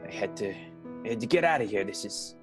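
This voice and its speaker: An actor playing a sheepish, halting runaway lover in an improvised radio soap opera. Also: male voice, on the low side, expressive, natural voice. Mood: sheepish, evasive. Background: guitar music.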